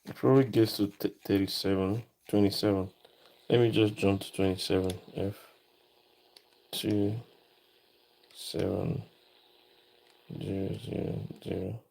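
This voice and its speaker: A man giving a casual, unscripted electronics demonstration, thinking aloud in short, halting phrases. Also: deep voice, flat delivery, vocal fry, a little mellow, rough recording. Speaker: electronics hobbyist presenter.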